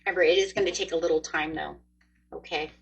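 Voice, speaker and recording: A woman's voice: sits low, steady tone, quick pace, vocal fry, slightly rough. A woman in her forties giving a chatty, upbeat tarot card reading, speaking straight to her viewers. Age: 40s. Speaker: tarot reader.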